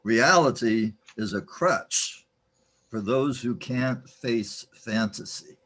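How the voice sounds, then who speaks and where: A man's voice: mid-range voice, slow, a bit bright. A man playing a philosophical, scolding guide in a spirit realm for a sci-fi radio drama. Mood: lecturing.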